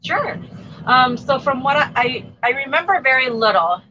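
A woman from Thailand who grew up in the U.S., speaking as an interview guest with an American accent. She is soft-spoken and trails off at the ends of phrases. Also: lively intonation, moderate pace, a little hiss or hum. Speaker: guest, community organizer. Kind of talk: interview answers. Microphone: low-level remote mic. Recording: dull low-level remote mic.

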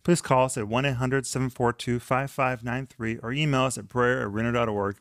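A man co-hosts a studio ministry show, eagerly recommending books and study guides and urging viewers to ask for prayer. His voice sits at a middle pitch and sounds dry and close. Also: steady tone, fairly quick, no echo. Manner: enthusiastic, promotes resources, urges prayer. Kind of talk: promotional and pastoral talk.

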